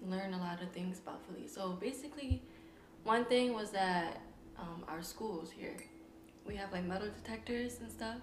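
A young woman from Philadelphia, a guest chatting with her best friend, telling stories in a relaxed way and laughing often. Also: mid-range voice, moderate pace. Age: young adult. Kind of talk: casual chat. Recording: echoey room, background noise.

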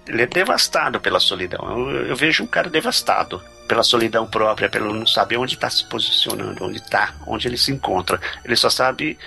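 Low gravelly guy riffing on a movie, bright edge, music under. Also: expressive, a little fast, few pauses. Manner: expansive, thinks aloud, jokey asides. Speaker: guest film critic. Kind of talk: casual podcast discussion.

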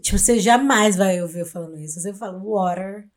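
A woman's voice: medium pitch, fast talker. A woman from in Brazil from that state, co-hosting a podcast and telling anecdotes in casual, slangy talk. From Brazil, Minas Gerais. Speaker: podcast co-host.

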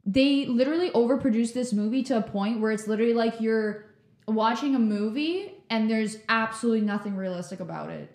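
Woman co-host, raspy, animated ranting, roomy echoey sound. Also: on the high side, brisk. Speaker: podcast co-host and tech person. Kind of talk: casual chat.

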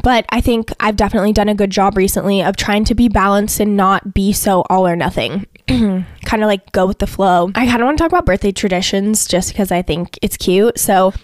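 A woman in her late twenties chats candidly and intensely on a solo podcast, with a lot of "like" fillers. Her voice sits at a middle pitch. The recording is dry and close, with no room sound. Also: a little fast, dry recording. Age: late 20s. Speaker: podcast host. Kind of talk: casual solo podcast chat.

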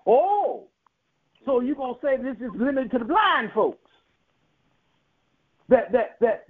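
A man preaching a sermon, building emphatic, rhythmic repetitions and rising to sharp peaks. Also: extremely high pitch. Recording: tinny phone-like radio broadcast.

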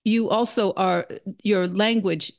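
A woman's voice: medium pitch, fairly even intonation, slow pace. A woman hosting an interview podcast who often restarts her sentences and praises her guest freely. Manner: hesitant, with frequent restarts and effusive praise. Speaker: podcast host.

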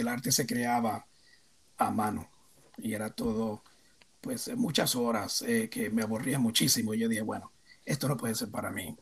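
Un hombre de unos cincuenta años con acento puertorriqueño, invitado en una entrevista, que habla de forma reflexiva y pausada, con muchas muletillas. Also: male voice, medium pitch, gravelly, husky. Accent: Puerto Rican. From Puerto Rico. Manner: reflective, hesitant, many fillers. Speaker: guest, theme park designer. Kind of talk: casual interview answers.

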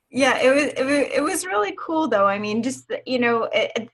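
A woman's voice: sits high, husky. A woman, hosting a casual interview, enthusiastic and patient when she explains things. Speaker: host.

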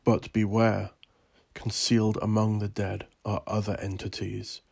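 Man, dark mellow voice, husky, measured solemn delivery, scripted gothic narration, author hosting a podcast. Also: fairly low pitch, little pitch movement, dry recording.

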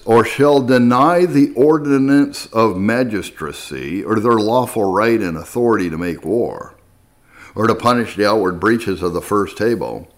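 A man narrating a historical text in a measured, formal, scripted audiobook style. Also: on the low side. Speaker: narrator reading historical texts.

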